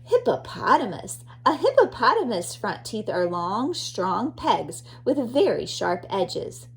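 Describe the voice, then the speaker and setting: A woman's voice: mid-range voice, sing-song, slow, creaky, a little hiss or hum. A woman teacher reading a children's nonfiction book aloud expressively, addressing young listeners.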